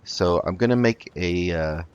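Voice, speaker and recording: A man's voice: deep voice, a little slow, clean tone, highs cut off. A man giving casual video game commentary, thinking aloud in a hesitant way as he plays. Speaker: host of a video game roleplay let's play.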